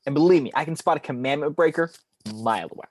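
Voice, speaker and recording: A man's voice: on the high side, very fast, a little mellow, no room sound, lightly acted. A man playing a cynical, cocky angel of death in a film script table read, arguing in a slangy, opinionated way. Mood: argumentative.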